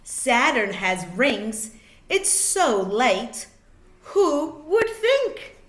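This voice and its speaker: Slow, animated woman reading to children. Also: high voice, soft background music. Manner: sing-song, expressive, drawn-out words. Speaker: teacher reading a picture book aloud to children. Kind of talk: read-aloud children's storytelling. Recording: echoey room.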